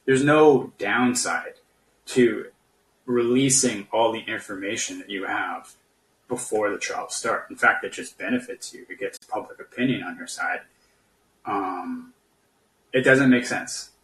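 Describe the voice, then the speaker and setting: A man casually debating politics as a caller, skeptical and halting, feeling his way through each point. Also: lowish voice, fairly bright tone. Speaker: podcast guest caller. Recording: echoey room, distant from mic.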